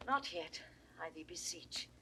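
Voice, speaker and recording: A woman's voice: low-pitched, lively intonation, unhurried, sharp tone, heavily acted, poor audio. A woman with a British RP accent playing a desperate, aging duchess in a Shakespearean audio drama, pleading in impassioned verse. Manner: impassioned, sweeping verse delivery. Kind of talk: classical Shakespearean stage acting. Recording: vintage 1960s recording, faint noise.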